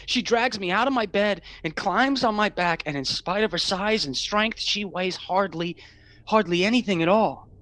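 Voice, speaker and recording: An actor playing a troubled, haunted young man, a younger brother, in a halting radio drama performance. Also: sits high, bright, forceful tone. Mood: frightened. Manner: hesitant, halting.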